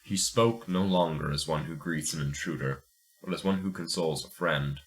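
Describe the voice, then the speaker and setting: A man who hosts and reads stories in a deliberate, measured manner. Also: a bass voice. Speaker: podcast host and story reader. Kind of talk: dramatic first-person story narration, then casual chat.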